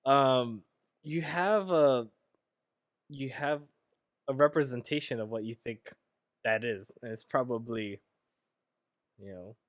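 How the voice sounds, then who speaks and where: A man's voice: medium pitch, takes it slowly, long pauses, no room sound. Chill guy raised in hawaii, says bro a lot, dry close mic sound. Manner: relaxed, swears casually, says "bro". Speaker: podcast co-host. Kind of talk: casual chat.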